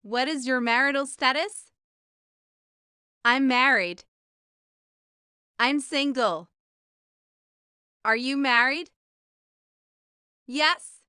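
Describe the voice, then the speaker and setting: A woman reading a scripted beginner language lesson of short questions and answers, with careful, even enunciation. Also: high voice, clear, resonant tone, no echo, studio quality. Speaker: English language teacher.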